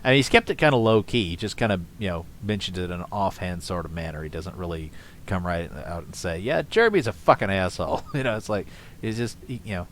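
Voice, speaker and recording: Sardonic low guy doing reaction commentary, lots of um and you know. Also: lively intonation, quick pace, fairly bright tone, slight background noise. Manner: sardonic, mocking, laughs often; trails off with fillers. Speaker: commentary host.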